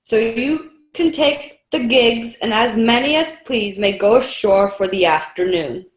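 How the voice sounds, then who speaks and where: A woman's voice: a little high, a little slow, a little hiss or hum, natural voice. A woman playing a weary, steadfast male sailor in a radio drama, recorded with a roomy echo. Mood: weary, commanding. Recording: roomy, echoing sound.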